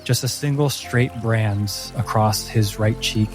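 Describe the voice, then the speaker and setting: Slow-paced man narrating fantasy over music. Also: on the low side. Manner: deliberate, descriptive storytelling. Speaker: game master. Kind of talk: scripted fantasy narration for a tabletop game. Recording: music under the voice.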